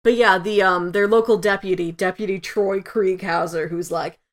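A woman's voice: medium pitch, fairly even intonation, normal speed. A woman co-hosting a review podcast, talking casually and conversationally. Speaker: podcast co-host.

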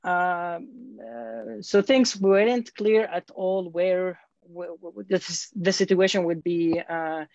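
A man's voice: high voice, fairly even intonation, slow pace, vocal fry, darkish tone, dry recording. A man, a guest answering interview questions hesitantly, with many fillers and restarts. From Syria. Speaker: guest, scholar. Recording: dull, dry lo-fi audio.

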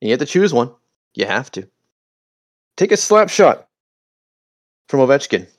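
A man's voice: medium pitch, sing-song, normal speed, no echo, clean studio sound. A man co-hosting a casual podcast, playful and teasing, laughing often and using slang. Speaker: podcast co-host and editor. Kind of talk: casual chat.

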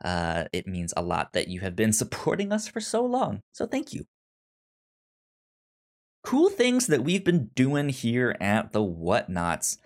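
A man's voice: lowish voice, huge pitch swings, measured pace. A man, probably in his thirties, hosting a casual podcast chat, who stammers and repeats syllables as he talks. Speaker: podcast host.

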